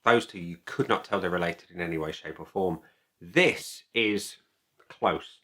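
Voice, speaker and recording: A man giving a casual product review to camera as a video host, speaking in a halting, deliberate way and repeating phrases. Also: deep voice, sharp tone.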